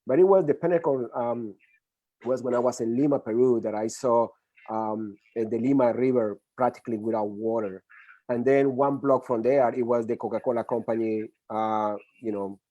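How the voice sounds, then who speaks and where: A middle-aged man with a Colombian Spanish accent speaks English earnestly and at an easy conversational pitch. His voice is dark and mellow, recorded dry and close with little room sound. He often restarts his sentences as he explains. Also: normal speed, no room sound, dull audio. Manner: earnest, many restarts and fillers. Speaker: guest expert. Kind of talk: conversational interview.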